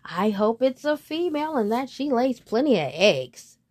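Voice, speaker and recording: A woman's voice: fairly high pitch, sing-song, normal speed, darkish tone, voice pushed up. A woman voicing a grumbling, half-blind old countrywoman in a storybook, bossy and impatient.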